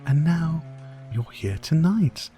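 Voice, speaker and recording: Man playing a mellow, slow-talking sadistic vampire lord. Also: on the high side, very animated, soft background music. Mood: taunting, knowing. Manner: silky, formal, theatrical, teasing, drawn-out phrasing. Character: sadistic, courtly male vampire lord, dominant and mocking. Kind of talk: ASMR roleplay, dramatic monologue to listener.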